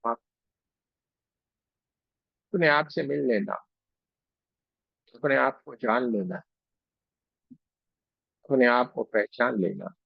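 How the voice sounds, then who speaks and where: Male meditation teacher, very slow and gentle, gravelly fry in the voice, muffled recording. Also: mid-range voice, no room sound. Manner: gentle, unhurried, devotional, phrase by phrase. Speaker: meditation guide and Reiki teacher. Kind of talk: guided meditation, slow spoken instruction with pauses.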